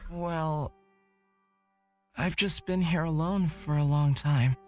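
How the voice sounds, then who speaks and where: A woman voicing a timid, gentle young male companion in a visual novel, hesitant and apologetic. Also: deep voice, takes it slowly, voice pushed down. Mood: shy, apologetic. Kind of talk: visual novel character reading. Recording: background game music.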